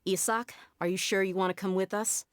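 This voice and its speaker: A woman's voice: medium pitch, fairly even intonation, fairly quick, smooth, no room sound, studio quality, lightly acted. A woman voicing a firm, cool-headed young warrior in an anime video game. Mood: firm, guarded. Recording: game audio with music behind.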